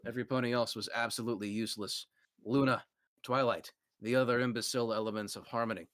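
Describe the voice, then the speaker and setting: A man's voice: medium pitch, steady tone, fast talker, fairly bright tone, dry recording. A man reading fan fiction aloud in a dramatic storyteller's manner. His voice sits mid-range and is recorded dry and close, with no room sound. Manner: dramatic storytelling read aloud, occasional stumbles. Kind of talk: comic fan fiction narration.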